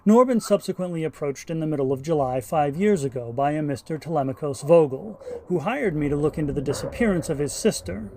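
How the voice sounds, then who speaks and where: A man's voice: on the high side, animated, brisk, hardly any pauses, a little mellow, a little hiss or hum, low-quality recording. An actor playing an obsessive male investigator in an audio drama, polite and wordy in a formal way.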